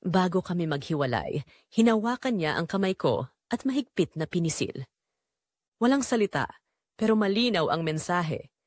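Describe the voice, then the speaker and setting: Female audiobook narrator for romance drama. Lively, varied pitch, measured emotive delivery, very dry recording. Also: no echo. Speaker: audiobook narrator reading a first-person romance story.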